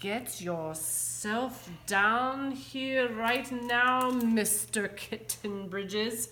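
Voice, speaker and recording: A woman's voice: on the high side, drawn-out words, pushed and bright, roomy sound, slight background noise, exaggerated voice. A woman voicing a stern, exasperated mother cat from a storybook, scolding with heavy emphasis.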